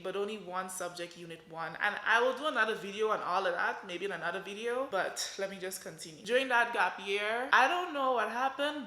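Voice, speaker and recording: A woman's voice: fairly low pitch, sharp tone. A woman in her twenties from Guyana with a Guyanese accent, chatting animatedly to her viewers in a casual vlog. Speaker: vlogger. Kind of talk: casual chat to camera. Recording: echoey room.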